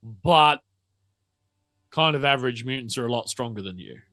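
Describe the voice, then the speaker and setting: A man narrating a tabletop game as game master, setting scenes in a halting, conversational way. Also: mid-range voice, expressive, sharp tone, dry recording.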